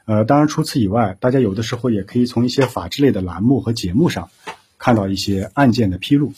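A man answering as a police officer guest, explaining things informally in a measured way. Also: on the low side, mellow, slight background noise.

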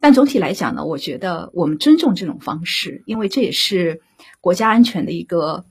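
A woman speaking as a drama director in an unscripted interview, halting as she searches for words. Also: lowish voice, animated, a little mellow, breathy edge.

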